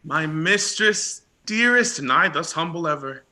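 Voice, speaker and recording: A man's voice: a little high, expressive, unhurried, sharp tone, full character voice. A man playing an ardent, courtly young prince toiling at logs, in theatrical, effusive Shakespearean stage acting. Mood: ardent, earnest.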